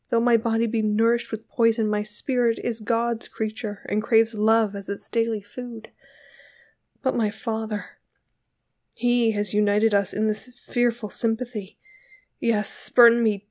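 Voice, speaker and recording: Tender, anguished young woman, high and plaintive , acted by a narrator. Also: close to an everyday voice. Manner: plaintive, pleading, archaic phrasing. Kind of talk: dramatic literary audiobook reading.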